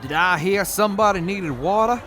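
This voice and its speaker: A man's voice: high-pitched, normal speed, noisy background, close to an everyday voice. A man voicing a cautious, caring man who mildly disapproves in an audio drama. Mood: concerned.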